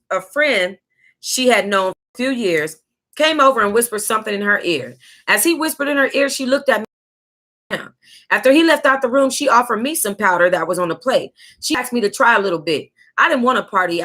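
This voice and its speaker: American lady hosting a book club, reads it out real dramatic and sassy, sharp bright voice kinda high. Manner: sassy, exclamatory, drawn-out emphasis. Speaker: host of an online book club reading. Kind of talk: dramatic read-aloud of a memoir with sassy asides.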